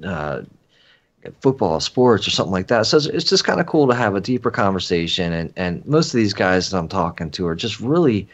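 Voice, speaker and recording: Man, very low voice, from the Philadelphia area, earnest, relaxed guest, dull muffled sound. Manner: easygoing, filler-heavy, earnest. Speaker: podcast guest. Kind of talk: casual chat.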